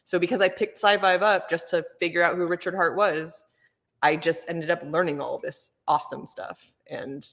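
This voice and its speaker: A woman with a low voice talks in a loose, rambling way, packing her sentences with "like" and "you know." Her voice trails in a long reverb, as if she were in a big echoing space. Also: steady tone, normal speed, a bit bright. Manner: rambling, filler-heavy, laughs often. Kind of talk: casual chat.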